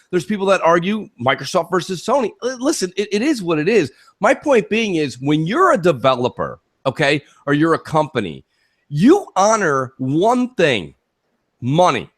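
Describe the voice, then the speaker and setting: A man co-hosting a gaming talk show, speaking emphatically in opinionated, rhetorical bursts and often checking in with "okay" and "listen". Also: high-pitched.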